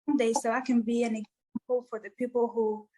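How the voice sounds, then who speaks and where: A woman's voice: a little high, level tone, fairly quick, dark tone, slight background noise, low-quality recording. A woman from East Africa, a musician guest, answering interview questions in an earnest, motivational way with lots of "like" fillers.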